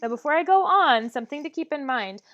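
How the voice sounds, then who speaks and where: A woman voice teacher leading a vocal warm-up lesson, talking with many "um"s and demonstrating exercises. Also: sits high, expressive, fast, lo-fi sound.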